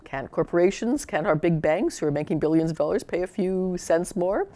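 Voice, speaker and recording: A woman's voice: lowish voice, fairly quick, warm tone. A woman legislator in an interview, making her argument firmly with some filler words. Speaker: provincial legislator.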